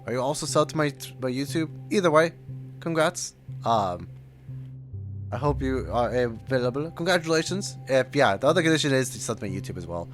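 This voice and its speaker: A man chatting casually and excitably as he streams his reaction to a game. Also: medium pitch, a little fast. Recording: faint game music behind voice. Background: game music.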